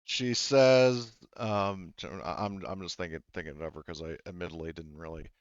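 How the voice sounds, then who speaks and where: Male game master, smooth and lowish, hesitant rambling explainer, slightly lo-fi recording. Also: normal speed, no room sound. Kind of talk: improvised tabletop game narration.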